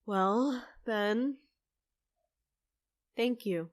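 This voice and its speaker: Casting: actress for a secretive, easily flustered young half-fae woman in a fantasy audio drama; slow, halting, dry studio sound. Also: a little high, no room sound. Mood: guarded.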